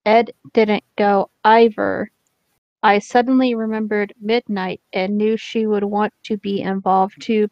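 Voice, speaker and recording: A woman's voice: mid-range voice, darkish tone, no room sound, dull audio. A woman reading fan fiction aloud casually over an online voice chat. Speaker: co-host reader. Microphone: own mic on an online voice chat.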